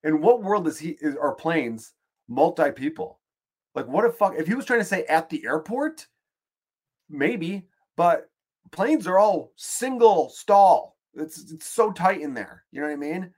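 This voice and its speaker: A man's voice: a little high, lively intonation, unhurried, darkish tone. A man with a Chicago accent, hosting a podcast and delivering a profane, exasperated, rambling comedic rant. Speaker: podcast host.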